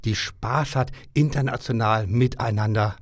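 Männliche Gaststimme, trocken im Studio. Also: male voice, mid-range voice, unhurried, no echo. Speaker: cruise line business development director. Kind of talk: interview answers. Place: audio studio.

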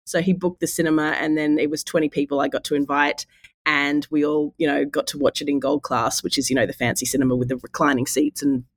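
A woman with a head cold that makes her husky, telling stories animatedly and laughing often in a podcast chat. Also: sits low, fairly even intonation, fast, dry recording. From Australia. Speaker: podcast guest.

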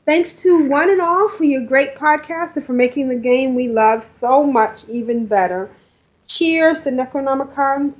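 A woman with a high voice co-hosts a relaxed gaming podcast. She reads listener messages aloud in a cheerful, affectionate way and giggles between lines. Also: a little slow, poor audio. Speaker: podcast co-host. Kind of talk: casual chat, reading listener emails aloud.

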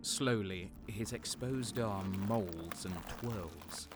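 A man playing an ominous, deliberate narrator of a grisly tale in an audio drama. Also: on the low side, music in the background.

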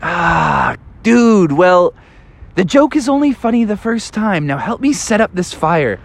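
A man's voice: sits high, sing-song, a little slow, vocal fry, exaggerated voice. Guy doing a chill but whiny dude animal, says dude a lot, kinda grumbly. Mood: laid-back. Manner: slangy surfer-dude talk, whiny.

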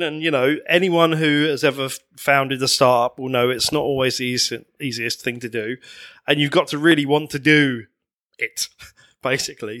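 A man's voice: medium pitch, fairly bright tone, no room sound, clean studio sound. A man hosting a podcast who reads a scripted intro and then asks his guests questions in a conversational way. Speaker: podcast host. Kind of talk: podcast interview.

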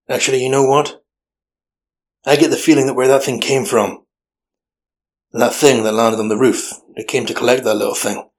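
A man playing a gruff, weary old detective with a deadpan, sardonic manner, narrating a noir audio drama. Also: mid-range voice, natural voice. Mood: stern, warning.

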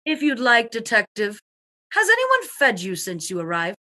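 A woman's voice: on the high side, huge pitch swings, moderate pace, pushed and bright, full character voice. A woman playing a breezy, haughty society widow with an airy, dismissive manner in a comic radio murder mystery. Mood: breezy denial. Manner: airy, grandly dismissive, punning.

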